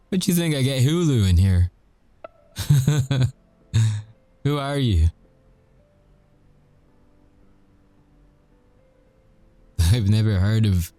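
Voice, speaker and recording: A man playing a sardonic, volatile supernatural prisoner in an audio roleplay, mocking and bitter, swearing and taunting with dark chuckles. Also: medium pitch. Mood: mocking, wary. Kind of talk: audio roleplay ASMR acting.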